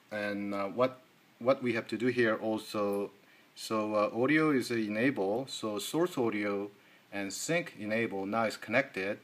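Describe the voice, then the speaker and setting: A man giving an informal hands-on tech tutorial in halting, non-native English. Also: fairly low pitch. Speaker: tech demonstrator. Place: indoors at a desk.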